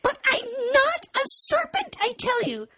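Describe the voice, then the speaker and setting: A man's voice: very high voice, huge pitch swings, measured pace, very noisy, voice pushed up, full character voice. A man reading a storybook aloud, voicing a polite, earnest little girl who grows prim and indignant.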